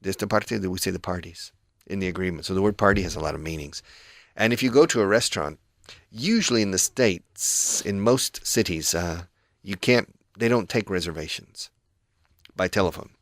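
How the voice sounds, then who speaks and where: Deep-voiced man teaching English on radio. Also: animated, moderate pace, no echo, studio quality. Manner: patient, explanatory, switches between two languages. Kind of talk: radio English lesson, explaining phrases.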